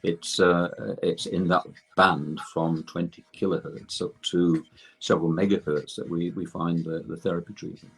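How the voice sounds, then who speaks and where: Older man, low-pitched, husky, technical explainer, halting delivery, self-correcting. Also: little pitch movement, fairly bright tone, rough recording. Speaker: guest expert in electrotherapy.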